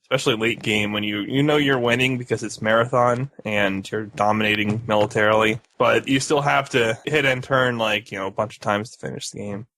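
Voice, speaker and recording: A man's voice: fairly low pitch, fairly even intonation, a little fast, few pauses, pushed and bright. A man co-hosting a gaming podcast, talking casually and explaining at length with some joking. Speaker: podcast co-host.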